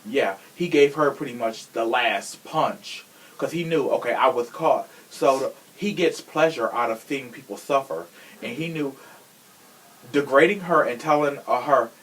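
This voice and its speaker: A man's voice: mid-range voice, measured pace, a bit bright, poor audio. A man hosting a casual true crime podcast, talking in a sassy, frank way with profane slang. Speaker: podcast host.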